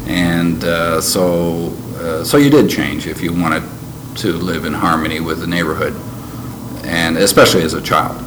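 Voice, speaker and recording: An older man who grew up in Canada, recalling his past in an interview in a measured, reflective way with frequent pauses. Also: sits low. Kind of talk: oral history interview. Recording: noisy background ambience.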